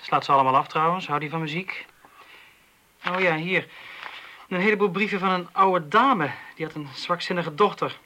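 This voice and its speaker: An actor playing a wisecracking, grumbling police detective in a radio drama, with an ironic, bantering delivery. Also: male voice, high-pitched, moderate pace, pushed and bright. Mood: weary grumbling. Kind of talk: Dutch radio drama acting. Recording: radio play with faint background noise.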